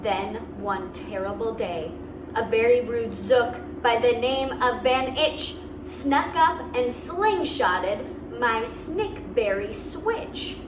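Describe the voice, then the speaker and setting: A woman reads a picture book to children in a fairly high voice. Her delivery is expressive and very unhurried, with simple explanations. She sounds a little distant from the microphone. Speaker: story reader and host. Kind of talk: children's read-aloud storytelling with asides. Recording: roomy, distant mic, clear background noise.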